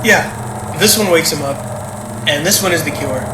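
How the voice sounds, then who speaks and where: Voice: mid-range voice, normal speed, lightly acted. An actor playing an uneasy, doubtful grown child in a naturalistic audio drama, talking in a hesitant, conversational way. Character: uneasy, doubtful grown child who suspects dad of murder. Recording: roomy, echoey with clear background noise.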